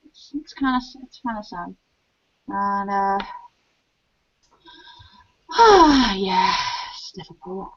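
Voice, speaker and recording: A woman's voice: medium pitch, lively intonation, slow pace, pauses often. A young woman with a watered-down Scouse accent, chatting casually as a guest and rambling with lots of ums and restarts. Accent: mild Scouse. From Liverpool, England. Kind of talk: casual chat. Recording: dull lo-fi sound.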